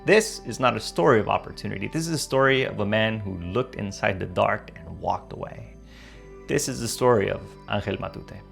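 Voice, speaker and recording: A man's voice: on the low side, fairly quick, creaky, fairly bright tone, faint music behind. A man hosting a podcast over a video call, narrating a dramatic intro and then chatting hesitantly with many fillers. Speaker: podcast host. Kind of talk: scripted narration then casual interview.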